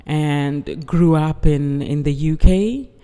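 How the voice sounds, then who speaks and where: A woman from Uganda, a guest artist, talking in a calm, measured way and often affirming with "yes". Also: deep voice. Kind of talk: calm conversational interview. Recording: long hall reverb.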